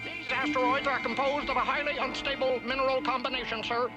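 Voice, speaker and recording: High-pitched, precise starship officer. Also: male voice, level tone. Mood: composed. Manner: measured, technical reporting. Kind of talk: 1970s animated television acting. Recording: old TV soundtrack with loud music.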